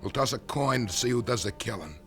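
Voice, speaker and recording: A man's voice: medium pitch, animated, a little fast, over music, lightly acted. An actor playing a bitter male former business partner in a 1970s radio drama, wary and then grimly resolved. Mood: tense.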